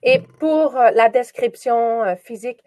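A woman's voice: a little high, unhurried, a little mellow, no echo. A woman from Quebec, chatting as an encouraging, reassuring conversation partner. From Quebec, Canada. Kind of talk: casual question-and-answer conversation practice.

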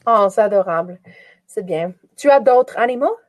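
A woman's voice: medium pitch, animated, moderate pace, no room sound. A woman from Quebec, chatting as an encouraging, reassuring conversation partner. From Quebec, Canada. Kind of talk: casual question-and-answer conversation practice.

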